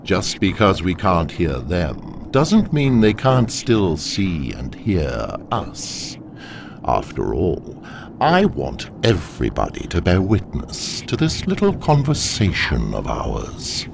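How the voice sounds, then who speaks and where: An actor playing a wrathful, menacing demon overlord in an audio drama, with slow, theatrical, accusing delivery. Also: male voice, sits low, wildly swooping pitch, creaky, noisy background, full character voice. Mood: menacing, taunting.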